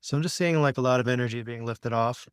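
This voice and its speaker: A man working as a healing practitioner, guiding a client with questions and affirmations in a halting, checking-back way. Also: medium pitch, clear, resonant tone, dry recording, studio quality. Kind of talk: guided session, gentle questioning.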